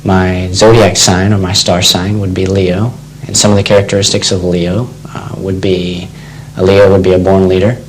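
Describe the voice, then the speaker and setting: A middle-aged American man with a very low voice and a flat delivery. He talks about himself haltingly and deliberately, over noticeable hum. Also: poor audio. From Texas, United States. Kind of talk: unscripted self-introduction. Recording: clear background noise with hum.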